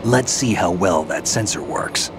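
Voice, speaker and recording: A man's voice: low voice, expressive, a little slow, noisy background. An actor playing a seasoned male soldier who talks in a dry, laconic way in a game cutscene. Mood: dry gruff. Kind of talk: video game cutscene dialogue.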